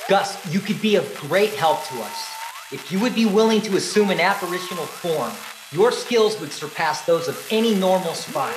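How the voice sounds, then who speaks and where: An actor in a radio play playing a purposeful government agent running a secret experiment. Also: male voice, high voice, lively intonation, moderate pace, background noise. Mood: persuasive. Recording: echoey room.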